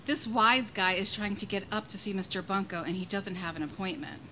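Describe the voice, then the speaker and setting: A woman's voice: mid-range voice, brisk, pushed and bright, lightly acted. A woman playing a dry, sarcastic, unimpressed secretary in a radio play, in a noisy live recording. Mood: complaining. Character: snippy, unimpressed blonde female secretary. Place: live performance on a cruise ship.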